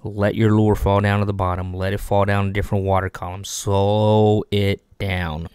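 A man in his thirties from Texas, hosting a fishing channel, giving animated, repetitive tips to camera from a small home studio on a desk microphone. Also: fairly low pitch, moderate pace, clean tone, no room sound. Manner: animated, repetitive coaching with lots of hand gestures. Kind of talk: instructional video talk to camera. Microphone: desk microphone on boom arm.

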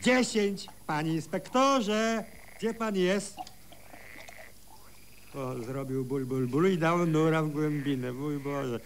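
Meek flustered old tenant in a radio comedy, mutters politely, bit of noise behind. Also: male voice, a little high, lively intonation, takes it slowly. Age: older. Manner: muttering, deferential, easily flustered. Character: meek, flustered, exasperated male tenant and pensioner. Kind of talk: comic radio play dialogue.

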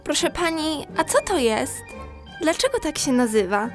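A woman's voice: sits high, expressive, normal speed, faint music behind. A woman voicing an eager, curious young animal pupil in a children's audio drama. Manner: eager, questioning.

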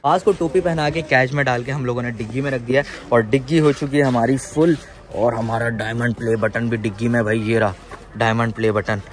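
A man's voice: mid-range voice, brisk. A young man in his twenties with a sore throat, chatting through a casual travel vlog to a handheld camera, first in a yard and then in a car. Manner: excited, calls out loudly when flustered. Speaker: vlogger. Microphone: handheld camera at arm's length. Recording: noisy street and car background.

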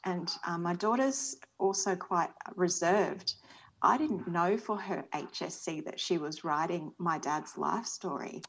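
An Australian woman in her forties speaks over a video call, her voice sitting a little low. She talks earnestly and reflectively, softly and without hurry. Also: measured pace, poor audio. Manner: earnest, thoughtful, soft-spoken. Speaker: panel guest. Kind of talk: reflective panel talk. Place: small indoor room. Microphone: video call webcam.

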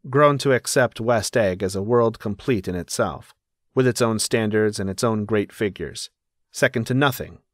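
A man performs a reflective male narrator in an audiobook drama. The voice sits low and is recorded dry. He observes people closely and tells it as literature. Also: no echo, close to an everyday voice.

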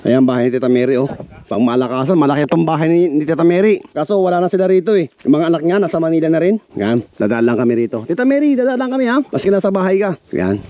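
A man vlogging as he walks through an overgrown yard, chatting to viewers as he guides them along, sometimes going quiet and hushed. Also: a little high, expressive, fast talker. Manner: chatty, guiding viewers, hushed when uneasy. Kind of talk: casual tour narration. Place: overgrown rural yard outdoors.

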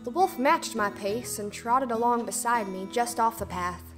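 An earnest, dutiful young girl acted by a woman with a fairly high voice. She tells her own storybook tale in formal first-person narration, with soft music underneath. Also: moderate pace, close to an everyday voice. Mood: earnest, reflective.

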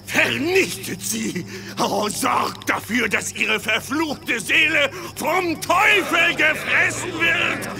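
An actor playing a furious, fanatical witch hunter leader in a horror audio drama, shouting his drawn-out commands. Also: extremely high pitch, over music, heavily acted.

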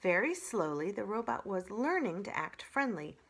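A middle-aged woman reading a children's story aloud in an expressive storytelling style. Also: mid-range voice, moderate pace, husky. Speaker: children's library story reader.

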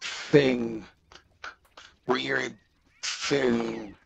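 A man giving casual gameplay commentary through a voice changer, gravelly and raspy, in short, repeated exclamations. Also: medium pitch, fairly even intonation, extremely slow, a little hiss or hum. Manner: short, fragmented exclamations, often repeating words. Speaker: gamer recording gameplay.

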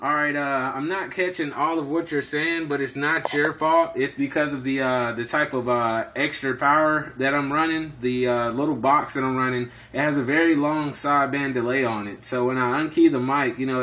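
Male hobby radio operator, mid-pitched, casual and jargon-heavy, speaking from an apartment. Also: fairly even intonation, normal speed, few pauses, fairly bright tone. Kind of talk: casual two-way radio chat. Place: indoors in an apartment.

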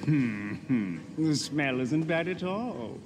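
A man's voice: on the high side, expressive, slow pace, noisy background. An actor playing a flamboyant, menacing villain henchman in a video game, theatrical and savouring his words. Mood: savouring menace. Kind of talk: video game cutscene acting.

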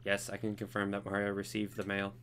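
Man doing a stiff, earnest game computer, monotone delivery, forceful bright tone. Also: fairly low pitch, brisk, a little hiss or hum. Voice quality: flat monotone. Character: earnest, naive learning computer, male, monotone.

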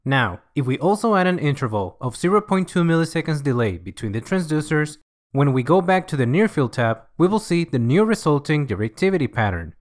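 A man reading scripted software tutorial narration in an instructional, measured way. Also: on the high side, very animated.

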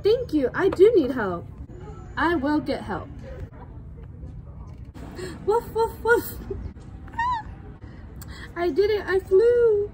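Woman playing an excitable little storybook pig. High and eager, with faint outdoor noise. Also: slow pace, pauses often, full character voice, rough recording. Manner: bright, eager, exclaiming.

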